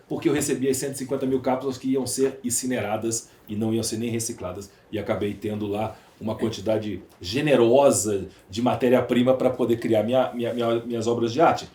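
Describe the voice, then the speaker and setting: A man's voice: fairly low pitch, darkish tone. Brazilian guy being interviewed, echoey room, talks emphatic and repeats stuff to make his point. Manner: emphatic, rhetorical, repeats phrases for effect. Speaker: podcast guest, entrepreneur. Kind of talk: conversational interview, storytelling and opining. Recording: roomy, echoey sound.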